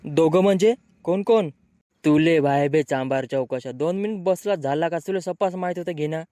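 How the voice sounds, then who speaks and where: Man playing a high-voiced brash village lad. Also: normal speed, mellow, no echo, clean studio sound, lightly acted. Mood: grumbling banter. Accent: rural Marathi. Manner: bickering, slangy. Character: brash, grumbling young village men in a comic cartoon. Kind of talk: comic cartoon dialogue.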